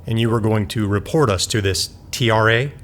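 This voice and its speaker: A man's voice: lowish voice, moderate pace, fairly bright tone, slight background noise, natural voice. A man playing an indignant older grandfather and scientist in an audio drama, incredulous and given to dramatic outbursts.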